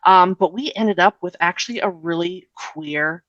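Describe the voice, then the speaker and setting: A woman with a bright, clear-edged voice that sits a little low, recorded close and dry. She talks as an interview guest, informal and chatty, with plenty of "like" and "you know.". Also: moderate pace, no room sound, lo-fi sound. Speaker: interview guest, comics writer and editor. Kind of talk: casual conversational interview.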